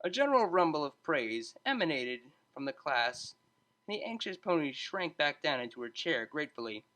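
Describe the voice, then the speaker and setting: A woman's voice: sits low, sing-song, normal speed, fairly bright tone. A woman reading scripted story narration expressively.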